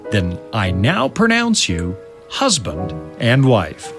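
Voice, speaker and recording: A man playing a solemn, kindly wedding officiant with a measured, ceremonial manner in a children's audio drama. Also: lowish voice, huge pitch swings, over loud music, full character voice.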